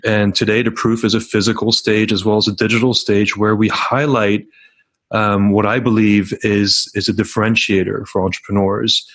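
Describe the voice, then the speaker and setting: A man's voice: lowish voice, level tone, normal speed, fairly bright tone, no echo. A middle-aged man talking earnestly as a podcast guest, telling stories with frequent restarts. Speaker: podcast guest, startup founder. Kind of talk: casual podcast interview.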